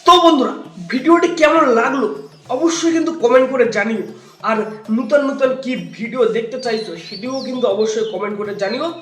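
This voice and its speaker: A man's voice: very high-pitched, brisk, a little hiss or hum. A young man, addressing viewers as a vlog host. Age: young adult. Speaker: vlogger and channel host. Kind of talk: scripted-sounding vlog intro and outro to viewers. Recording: roomy echo with loud music. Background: music.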